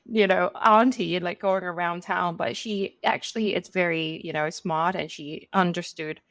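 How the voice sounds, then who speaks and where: Woman from Hong Kong, low voice, gravelly, dry close sound, chatty interview guest. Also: steady tone, normal speed, a bit bright, no room sound. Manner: chatty, many fillers like "you know". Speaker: venture capital partner, guest. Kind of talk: casual interview answers.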